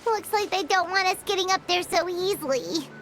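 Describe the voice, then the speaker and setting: A woman's voice: very high voice, normal speed. A woman voicing a small, timid girl teammate in a video game, speaking hesitantly. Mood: worried. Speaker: game character.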